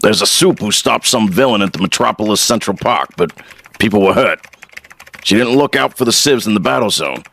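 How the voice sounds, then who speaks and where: A man playing a no-nonsense newspaper editor in an audio drama, speaking with brisk authority. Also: on the low side, animated, creaky, fairly bright tone, heavily acted. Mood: businesslike, curious. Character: commanding, no-nonsense newspaper editor, male boss.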